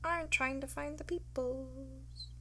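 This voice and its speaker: A woman's voice: high voice, steady tone, slow pace, fairly bright tone, slight background noise. A woman ASMR creator chatting casually and unscripted to her viewers in a flustered, giggly, sweary manner.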